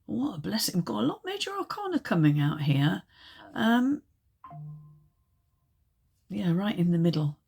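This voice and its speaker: Croaky, gravelly-voiced woman reading tarot. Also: fairly low pitch, very animated, normal speed, long pauses, a little mellow. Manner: chatty, hesitant, many ums and restarts. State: croaky, recovering from a chest infection. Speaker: tarot reader. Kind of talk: casual tarot reading to camera.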